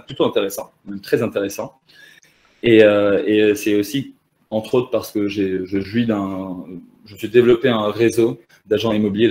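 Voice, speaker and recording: A man in his thirties with a lowish voice, explaining his startup in a relaxed interview. He talks fluently but keeps stopping to restart a phrase, with little fillers in between. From Lebanon and Canada. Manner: fluent pitch with frequent hesitations and restarts. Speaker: startup founder. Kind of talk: conversational interview answers.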